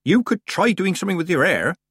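A man's voice: high-pitched, big pitch swings, a little fast, fairly bright tone, slightly rough, no room sound, clean studio sound, voice pushed up, full character voice. A man voicing a jolly, teasing older village witch woman in an audiobook, with a folksy, nudging way of talking. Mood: blunt, teasing. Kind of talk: audiobook character dialogue.

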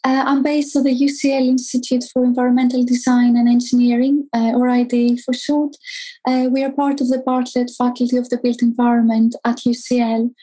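Female academic guest, Greek accent, highish mellow voice, careful hesitant explanations. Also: poor audio. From Greece. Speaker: academic researcher, interview guest. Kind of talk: thoughtful conversational explanation.

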